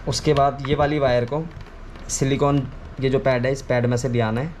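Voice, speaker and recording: A man's voice: mid-range voice, steady tone, normal speed, darkish tone. A man giving an informal hands-on tutorial, explaining things step by step and often repeating himself. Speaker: video creator giving a how-to. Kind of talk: casual hands-on tutorial chat. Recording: clearly noisy ambient background.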